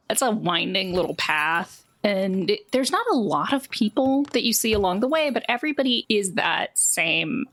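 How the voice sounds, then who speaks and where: A woman's voice: mid-range voice, fairly bright tone. A woman narrating a tabletop roleplaying game as game master, in a colloquial, conversational storytelling way.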